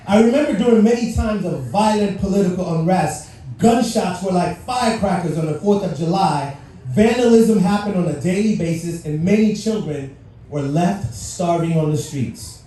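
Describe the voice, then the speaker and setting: A man's voice: high-pitched, normal speed, mellow. A middle-aged man from Haiti, a native French speaker, giving a motivational speech to live listeners with questions and playful asides. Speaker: guest speaker at a civic event. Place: large echoey venue with live audience. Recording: echoey venue, distant mic, faint noise.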